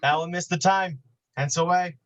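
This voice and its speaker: A man's voice: high voice, normal speed, fairly bright tone, highs cut off. A man acting a dutiful, cautious town constable and father in a Shakespearean staged reading, speaking formally. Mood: cautioning.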